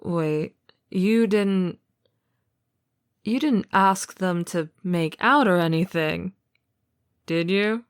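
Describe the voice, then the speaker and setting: A woman's voice: on the low side, drawn-out words, long pauses, smooth. A woman playing a protective, teasing and affectionate older sister in a conversational, sincere audio roleplay. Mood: incredulous. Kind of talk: amateur audio roleplay acting.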